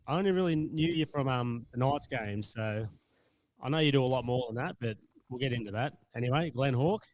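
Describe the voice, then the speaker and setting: A man's voice: mid-range voice, brisk. A man in his late twenties with an Australian accent, hosting a podcast in a laid-back, blokey manner. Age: 20s. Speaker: podcast host. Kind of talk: casual podcast interview.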